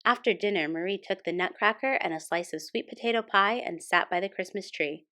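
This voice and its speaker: A woman's voice: mid-range voice, fairly quick, bright, forceful tone. Woman reading a children's storybook aloud. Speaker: storytime host and reader. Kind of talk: children's picture book read-aloud, scripted narration.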